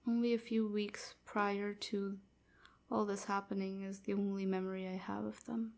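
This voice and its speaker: A woman playing a sorrowful young enslaved vampire in an intimate audio roleplay, speaking softly and haltingly, often near a whisper. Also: mid-range voice, level tone, vocal fry, lightly acted. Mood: wistful, grieving. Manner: halting, wistful confiding.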